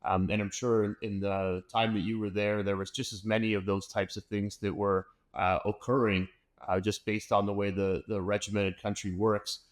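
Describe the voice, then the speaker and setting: Male host, somewhat low voice, eager and chatty, lots of restarts. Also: little pitch movement, normal speed. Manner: upbeat, enthusiastic, many fillers and restarts. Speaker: podcast host. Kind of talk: casual interview chat.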